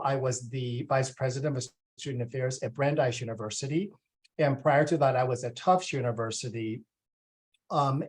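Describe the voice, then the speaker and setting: A man in his forties from Taiwan, a university student affairs vice president, talking to families in a relaxed way with many ums and uhs. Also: medium pitch. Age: 40s. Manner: conversational with frequent ums and uhs. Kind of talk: presenting to families at a welcome session.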